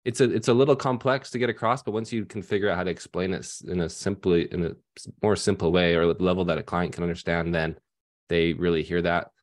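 A deep-voiced man in his thirties speaks over a headset mic on a video call from a room at home. He explains casually and loosely, full of fillers and restarts. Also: fairly even intonation, fast talker. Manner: conversational with many fillers and restarts. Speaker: financial advisor panelist. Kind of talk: casual panel discussion.

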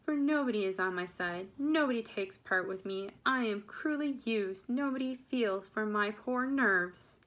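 A woman's voice: mid-range voice, moderate pace, a bit bright, close to an everyday voice. A woman reading a storybook part as a querulous, self-pitying middle-aged mother, complaining with insistent emphasis. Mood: melancholy, wounded.

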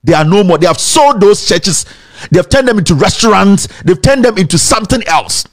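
Young Ghanaian male host, high, lively voice, sarcastic and animated, slips between English and Twi. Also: brisk. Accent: Ghanaian, mixing English and Twi. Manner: animated, sarcastic, breaks into laughter and sing-song mockery. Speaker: commentary show host. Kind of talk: animated commentary. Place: indoor studio set.

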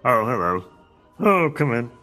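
A man voicing a bumbling, childish middle-aged cartoon character who mutters in short, throaty phrases and drawn-out interjections. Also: mid-range voice, very animated, over music. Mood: flustered. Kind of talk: cartoon acting.

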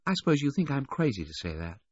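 Man voicing a high-pitched sulky teenage rich girl. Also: big pitch swings, fast talker, a little mellow, no echo, muffled recording. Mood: wistful. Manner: disgruntled, blurting.

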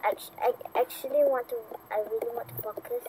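A chatty young woman, her voice sitting a little high as she narrates her game and jokes with friends. The recording is noisy, with clear hiss under her home microphone. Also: fairly even intonation, fairly quick. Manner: chatty, giggly, self-narrating her progress. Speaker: gaming channel host. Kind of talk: casual gameplay chat with friends.

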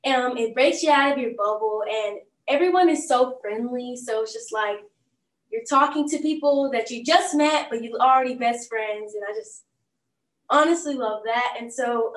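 Slow-talking high-voiced teen girl. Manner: relaxed, lots of "like" and "um". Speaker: young stage actor. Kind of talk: casual chat. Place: bookstore, seated on a stool. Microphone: far camera. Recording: roomy sound from a distant mic.

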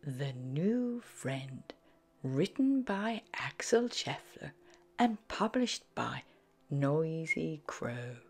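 Female storybook reader: breathy, very unhurried, sing-song delivery for young children. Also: low voice, sing-song, darkish tone. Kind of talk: expressive scripted storybook read-aloud for young children. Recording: background music under the voice. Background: music.

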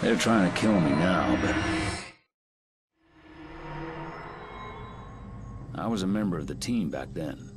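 An actor playing a gruff, tough loner super-soldier with a protective streak, blunt and terse, in a video game cutscene. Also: male voice, low voice, lively intonation, fairly quick, noisy background. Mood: matter-of-fact. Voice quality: gruff, gravelly.

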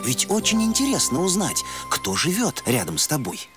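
A man's voice: a little high, wildly swooping pitch, moderate pace, over loud music. A man narrating a children's cartoon in a scripted storybook style. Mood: storytelling.

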